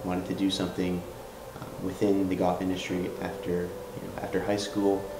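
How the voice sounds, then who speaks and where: A young man from Michigan, a college senior, answering interview questions with many pauses and fillers. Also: low-pitched, monotone, reverberant, noisy background. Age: young adult. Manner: halting, many ums and uhs. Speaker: student interviewee.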